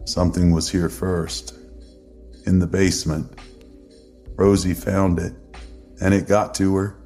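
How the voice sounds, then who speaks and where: A man plays a haunted, boozy middle-aged uncle in a horror story. His voice is very low and slow, halting and muttered as he carries his grief and secrets. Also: little pitch movement, a little mellow, faint music behind. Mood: haunted, sullen. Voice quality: gravelly, creaky low voice. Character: drunk, grief-stricken older uncle, a secretive former exorcist. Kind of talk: dramatic horror story acting.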